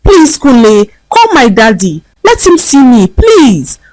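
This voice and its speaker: A woman voicing a spoiled, arrogant rich young woman who turns desperate and shrill. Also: wildly swooping pitch, normal speed, dry recording. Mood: pleading. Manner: shrill, pleading cries.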